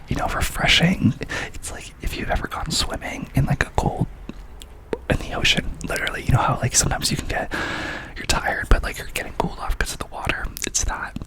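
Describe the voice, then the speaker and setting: Young male ASMR host, hushed whisper, lively rise and fall, rambling and chatty. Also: a little high, poor audio. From Massachusetts. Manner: soft whisper, rambling with fillers and repeats.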